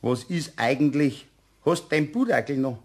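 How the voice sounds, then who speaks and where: A man playing a jovial, teasing locksmith in a radio play, with a husky voice and a Bavarian accent. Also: mid-range voice, normal speed, a little mellow. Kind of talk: German radio play acting.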